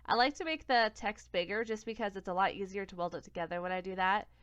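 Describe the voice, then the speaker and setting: A young woman with a cold-congested voice talks through a craft software tutorial step by step, sometimes catching and correcting her own slips. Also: medium pitch, fast talker, clear, resonant tone, no echo. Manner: step-by-step, self-correcting, plain and friendly. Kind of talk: screen-recorded software tutorial. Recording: dull, lo-fi home recording.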